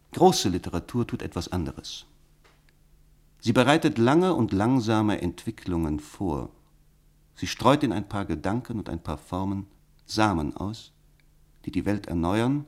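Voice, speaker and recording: A radical philosopher in a radio play, with a deep voice. He lectures with fervent, emphatic conviction and builds his points rhetorically, resolute and didactic. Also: a little mellow. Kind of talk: German radio play acting.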